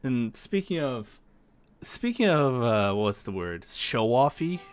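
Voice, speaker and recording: A man's voice: mid-range voice, very animated, slow pace, a little hiss or hum. A man hosting a casual gaming tier-list commentary, explaining things in a chatty, rambling way. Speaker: host of a tier-list video.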